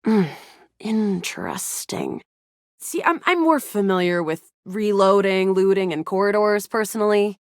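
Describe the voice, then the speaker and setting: A woman's voice: mid-range voice, big pitch swings, a little slow, no room sound, clean studio sound. A woman voicing a cocky young female space soldier in a video game, brash, dramatic and self-assured. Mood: matter-of-fact. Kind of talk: video game character dialogue.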